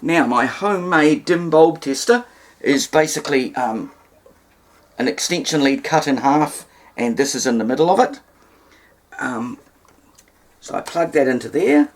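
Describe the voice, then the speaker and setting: A man's voice: a little high, sing-song, a little slow, long pauses. A man giving an informal, hands-on demonstration, explaining things step by step in a somewhat hesitant way. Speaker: hobbyist presenter demonstrating radio repair.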